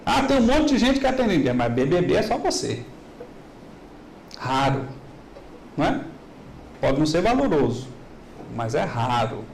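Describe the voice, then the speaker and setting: Animated middle-aged man lecturing. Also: high-pitched, roomy sound, slight background noise, rough recording. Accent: Minas Gerais Brazilian Portuguese. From Minas Gerais, Brazil. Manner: animated, asks the audience rhetorical questions. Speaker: business lecturer. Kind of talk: motivational business talk. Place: large hall.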